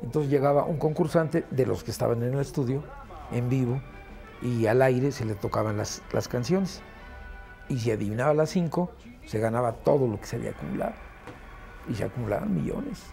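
A man's voice: mid-range voice, a little fast, creaky, warm tone, soft background music. A man explaining old radio shows in a casual interview. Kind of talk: casual reminiscence.

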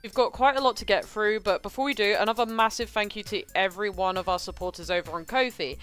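A woman's voice: mid-range voice, fast talker, fairly bright tone, soft background music. A woman co-hosting a channel, reading an earnest scripted thank-you to viewers. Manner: earnest, grateful, read from a script. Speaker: channel co-host.

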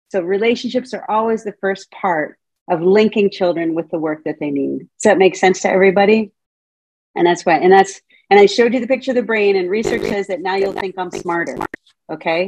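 A woman's voice: mid-range voice, a little mellow, breathy edge, no echo, rough recording. A middle-aged woman, a Montessori teacher trainer, giving a casual, playful talk over a video call and often asking her audience questions. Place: home room, indoors. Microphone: video call webcam.

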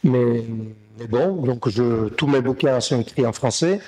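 A middle-aged man from Sicily, a native Italian speaker, giving a halting conference talk at a desk mic with many fillers and restarts. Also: mid-range voice, a little mellow. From Sicily, Italy. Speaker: guest speaker, academic. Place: ornate tiled room indoors. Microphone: desk gooseneck mic.

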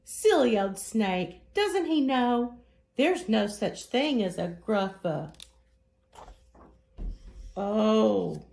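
Female children's story reader: unhurried, very animated, creaky edges, roomy indoor recording. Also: sits high. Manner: expressive, deliberate storytelling. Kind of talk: children's picture book read-aloud.

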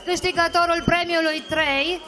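A woman's voice: extremely high pitch, fairly even intonation, pushed and bright, faint music behind. A middle-aged woman presenting prizes on a club stage, holding a handheld mic and rallying the crowd for applause. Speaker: awards presenter. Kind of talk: live stage emcee announcing winners. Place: stage of a rock cafe with karaoke audience. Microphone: handheld microphone.

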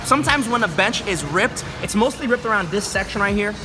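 Male fitness vlogger, 20s, high-pitched and animated, casual joking delivery over loud music. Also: fairly quick, rough recording. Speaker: fitness vlogger reviewing gym gear. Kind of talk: casual vlog talk to camera. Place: large busy commercial gym. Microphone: handheld camera at arm's length.

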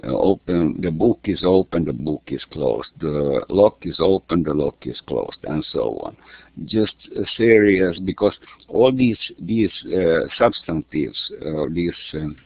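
A Finland-Swedish man with a very low, gravelly voice talks slowly and hesitantly, full of fillers. The sound is thin and tinny, like a phone line, and dry. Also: lively intonation, no room sound. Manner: hesitant, many fillers and restarts. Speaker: language course creator. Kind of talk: screen-share lesson walkthrough.

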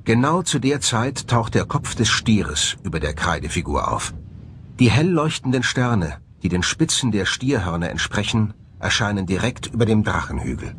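A man's voice: lowish voice, sing-song, moderate pace, background noise. A man delivering scripted documentary voice-over narration. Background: background music.

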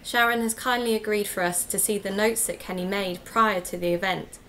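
A woman's voice: mid-range voice, steady tone, normal speed, sharp tone. An actor playing an earnest, polite woman who investigates mysteries for a podcast, in scripted audio drama. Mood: polite, sympathetic. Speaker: podcast host and narrator.

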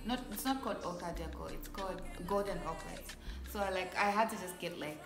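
A woman's voice: on the low side, brisk, music in the background. A young woman talking casually to camera in her bedroom, chatty and full of fillers, laughing often, filmed at arm's length. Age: young adult. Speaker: vlogger. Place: bedroom at home, indoors. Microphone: phone or camera at arm's length.

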